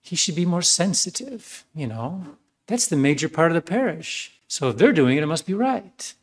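A priest giving a sermon, telling a story in a conversational way with many fillers and ironic asides. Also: male voice, a little high, very animated, moderate pace, slightly rough.

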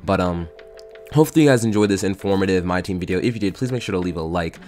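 A man's voice: low-pitched, fast talker, a little mellow, soft background music. A young man giving casual voice-over commentary on his game footage, rambling with lots of fillers and restarts. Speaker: gaming YouTuber.

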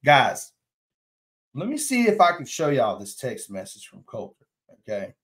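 A man's voice: medium pitch, huge pitch swings, a little slow, fairly bright tone, husky. Man, thirties, drawl, casual live stream host, chatty, reads comments aloud, webcam in a small room. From Texas. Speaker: fishing channel host. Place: indoor room, plain wall.

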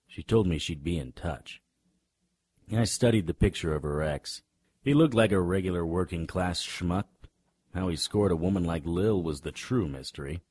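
An actor playing a sardonic, tough-talking private detective in a noir radio drama. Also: male voice, low-pitched, moderate pace, darkish tone, husky. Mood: wry, world-weary. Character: hard-boiled male private detective, sardonic and threatening.

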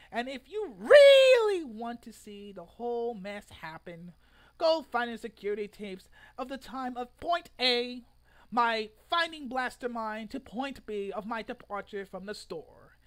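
Man, twenties, dramatic reading, theatrical and overblown, bright forceful tone, very lively intonation. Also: very high voice. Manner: theatrical, exaggerated delivery with sudden loud outbursts. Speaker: online video creator. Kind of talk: dramatic reading of a social media post. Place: room at home.

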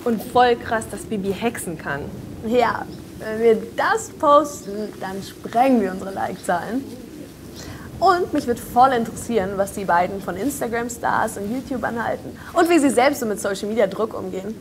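A woman's voice: on the high side, expressive, moderate pace. A young woman acting a vain, complaining social media influencer in a series, exaggerated and dismissive. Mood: impressed, curious. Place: inside a horse barn. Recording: noisy barn ambience with birds.

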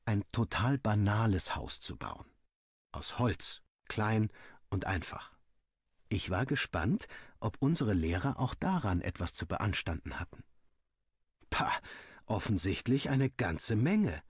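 Expressive, husky male storyteller. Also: fairly low pitch, dry recording, clean studio sound. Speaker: story narrator. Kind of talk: animated first-person scripted narration, like an audiobook.

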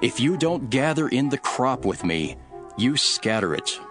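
An actor playing a calm, authoritative male teacher telling parables in an earnest, deliberate scripture drama. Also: medium pitch, music in the background. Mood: firm, authoritative. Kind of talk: dramatised scripture reading, measured storytelling.

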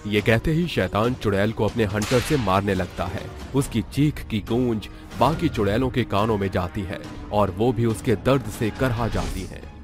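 A man's voice: fairly low pitch, normal speed, hardly any pauses. A man narrating a horror cartoon story in a dramatic, scripted storytelling style. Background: background music.

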